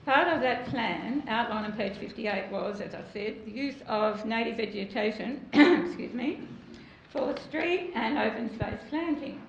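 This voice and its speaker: A woman asking council pointed questions in a loud, direct manner at a public meeting. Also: on the high side, animated, a little slow, creaky, slight background noise. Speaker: community member asking questions. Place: council chamber. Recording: echoey muffled council chamber.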